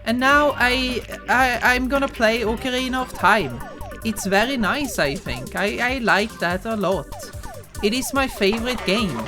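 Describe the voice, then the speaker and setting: Woman doing a broad Swedish-accented English speaker, slow, bouncy and smug, over-enunciating every word, game music playing underneath. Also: fairly high pitch, lively intonation, pitched above the performer's own voice. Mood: mock-proud. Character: exaggerated Swedish English speaker, sing-song, proud.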